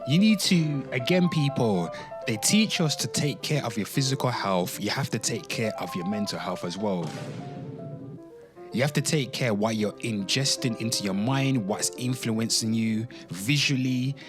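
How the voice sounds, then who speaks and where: A man hosting an online show, giving a dramatic reading with off-the-cuff commentary, drawn-out exclamations and asides to his listeners. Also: medium pitch, lively intonation. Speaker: host of an online pop culture show. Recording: music playing under the voice. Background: music.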